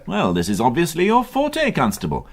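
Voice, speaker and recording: An actor playing a middle-aged police inspector in a British radio drama, sardonic, authoritative and quick to indignation. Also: male voice, a little high, wildly swooping pitch, slight background noise. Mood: brisk, commanding. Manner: dry, teasing, authoritative.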